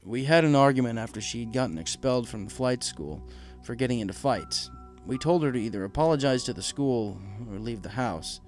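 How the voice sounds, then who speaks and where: A man voicing a humble, anguished pegasus father in a storybook, halting, earnest and deferential. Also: medium pitch, animated, faint music behind, close to an everyday voice. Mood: sorrowful. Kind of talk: storybook character voice.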